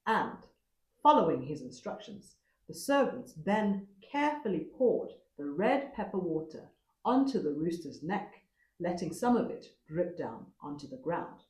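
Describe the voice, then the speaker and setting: A woman storyteller narrating a folktale expressively, with a dramatic, deliberate manner. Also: lowish voice, very animated, a little mellow, echoey room, low-quality recording.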